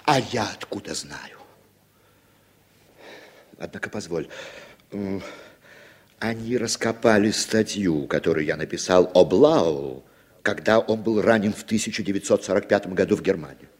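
An actor playing a nervous, evasive writer with a husky voice and a defensive manner in a Soviet radio drama. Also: male voice, deep voice, moderate pace, pauses often. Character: evasive, nervous male writer under suspicion.

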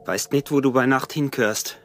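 An actor as a suspicious, possessive peasant husband. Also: male voice, medium pitch, moderate pace, a bit bright, close to an everyday voice. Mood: grim, possessive. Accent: Austrian. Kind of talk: radio drama acting in dialect.

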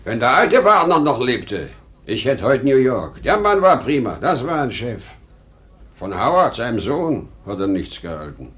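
A man's voice: mid-range voice, sing-song, unhurried, a bit bright. An actor playing an exhausted, rambling salesman past sixty, proud and erratic, in a vintage German radio drama. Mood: proud, indignant. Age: 60s. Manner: weary, rambling, given to boastful outbursts. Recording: noisy vintage 1950 radio recording.

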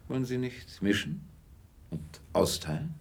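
An actor playing a calm, reserved gentleman in a radio drama, answering with dry, terse skepticism. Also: mid-range voice, unhurried. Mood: dry, unimpressed. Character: calm, wry, reserved gentleman facing down a crook. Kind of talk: German radio drama acting.

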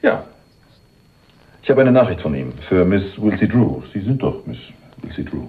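An actor playing a sly, cynical go-between with a wry, teasing way of talking in a German radio crime drama. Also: male voice, sits low, big pitch swings, normal speed, husky, heavily acted. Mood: cheeky, polite. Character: cynical, sly male go-between, cheekily flirtatious. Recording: muffled, phone-like 1980s radio recording.

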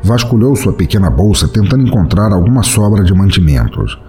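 Deep, expressive Brazilian male narrator. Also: brisk, faint music behind. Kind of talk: scripted fantasy story narration.

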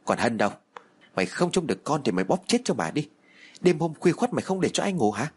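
A man's voice: on the low side, big pitch swings, racing, slightly rough, full character voice. A man voicing a cruel middle-aged grandmother who scolds coarsely and hurls threats. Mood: furious.

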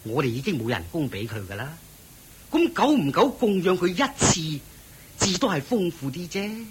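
An actor playing a stern, thrifty wealthy master of a household in a radio drama, commanding and lecturing. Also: male voice, sits high, very animated, moderate pace, slight background noise. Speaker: head of household.

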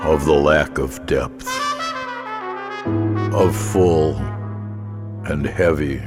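An actor voicing the grave speaker of a dispossessed people, declaiming solemnly and in measured fashion in a radio play. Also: male voice, a bass voice, heavily acted. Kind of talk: solemn declaimed English text in radio drama. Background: prominent music with brass.

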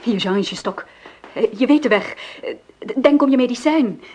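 A woman's voice: fairly high pitch, animated, moderate pace, darkish tone, a little hiss or hum, close to an everyday voice. An actress playing a nervous young mother in a radio play, who stammers and hurries to dodge questions. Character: nervous young mother Sylvie, evasive and jumpy.

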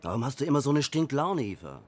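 An actor playing a chatty, nosy man passing on tips in a radio drama, quick and colloquial. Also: medium pitch, sing-song, a little hiss or hum, lightly acted. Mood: needling, confiding.

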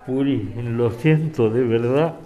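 A man's voice: mid-range voice, slow, warm tone, muffled recording, natural voice. A man playing an apologetic, weary elderly man in a radio drama, with an accent from Spain. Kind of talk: amateur radio drama acting.

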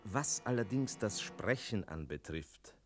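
Droll, animated storytelling tomcat. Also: male voice, fairly low pitch, a little slow, soft background music. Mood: droll, self-important. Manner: whimsical, self-interrupting, mannered, chuckling asides. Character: talking tomcat narrator of a fairy-tale comedy, droll, fussy. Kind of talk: theatrical radio play narration.

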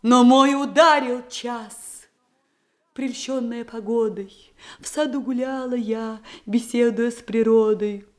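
An actress playing a coy young ingenue in a verse comedy, declaiming with affected, mock-sentimental dreaminess. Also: female voice, fairly high pitch, fairly even intonation, takes it slowly. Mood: dreamy, mock-sentimental. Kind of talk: theatrical verse comedy radio play.

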